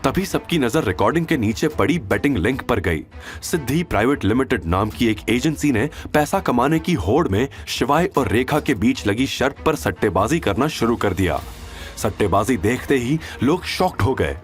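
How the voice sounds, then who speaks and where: Expressive male audio-drama narrator. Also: medium pitch, moderate pace. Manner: expressive storytelling, does all characters.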